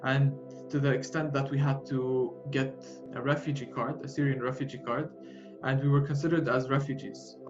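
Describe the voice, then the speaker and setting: A young man in his twenties with a Jordanian Arabic accent, telling his own story in an interview with frequent pauses, fillers and restarts. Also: mid-range voice, level tone. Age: 20s. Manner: halting, with many fillers and restarts. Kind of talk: personal storytelling. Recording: muffled, with music behind.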